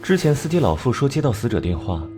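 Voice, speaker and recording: An actor playing a cold, clever male investigator in an animated crime drama, reasoning in a measured, analytical way. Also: mid-range voice, music in the background, close to an everyday voice. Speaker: detective section chief.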